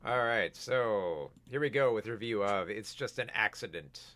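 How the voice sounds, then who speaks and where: A man's voice: on the low side, animated, measured pace, bright, forceful tone, no echo. A man hosting a casual film review show, rambling with many restarts while he is losing his voice. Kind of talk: casual video review, reading viewer comments.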